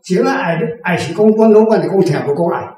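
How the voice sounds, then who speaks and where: Echoey, distant, high-voiced older Taiwanese man. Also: a little fast, darkish tone, slight background noise. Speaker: author answering readers' questions. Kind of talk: informal explanatory discussion. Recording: very echoey room, distant microphone.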